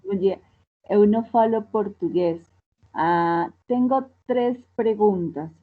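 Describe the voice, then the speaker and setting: A woman asks a lecturer a series of questions, speaking very slowly and pausing often to hesitate. Her voice comes through a narrow, tinny call line that sounds almost like a telephone. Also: medium pitch. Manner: slow, careful questioning with hesitations. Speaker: audience member asking questions. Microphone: video call. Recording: tinny phone-like call audio.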